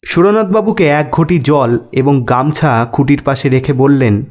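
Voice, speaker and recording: A man's voice: medium pitch, measured pace, darkish tone. A man narrating a scripted ghost story as a storyteller.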